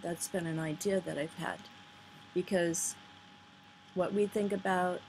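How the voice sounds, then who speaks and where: Slow-speaking woman with a flat, halting delivery. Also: on the low side, vocal fry. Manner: earnest, halting delivery with pauses between phrases. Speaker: vlogger. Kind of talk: informal spoken commentary on ideas, reading out quotes. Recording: noisy amateur recording, poor sound quality.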